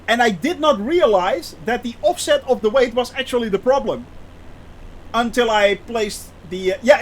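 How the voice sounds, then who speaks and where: High-voiced Dutch-accented streamer. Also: male voice, a little hiss or hum. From Netherlands. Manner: chatty, hesitant, many restarts, laughs often. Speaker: flight sim streamer. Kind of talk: casual live-stream chat.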